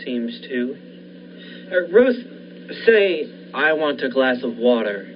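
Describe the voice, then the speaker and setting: Man acting a slow-paced, sceptical radio-play doctor. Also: medium pitch, sing-song. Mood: doubtful, soothing. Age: young adult. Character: sceptical, protective doctor, the scientist's steadying colleague. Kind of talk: 1940s radio thriller acting. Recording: noisy, dull lo-fi recording.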